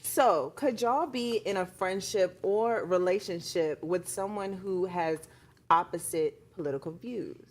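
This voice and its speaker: A woman's voice: fairly low pitch, a little slow, a little mellow. An American woman on a talk show panel, chatting casually in a candid, colloquial way.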